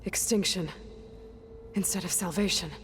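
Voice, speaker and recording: A woman's voice: lowish voice, fairly even intonation, moderate pace, slight background noise, close to an everyday voice. Woman as earnest young game heroine, long reverb. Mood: worried, reasoning. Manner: questioning, hesitant, thinking aloud. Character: determined, earnest young heroine seeking to save Earth. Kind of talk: naturalistic game cutscene dialogue. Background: music.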